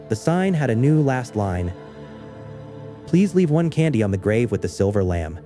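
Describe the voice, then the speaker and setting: A man narrating a scripted first-person horror story in a measured, understated way. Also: mid-range voice, warm tone.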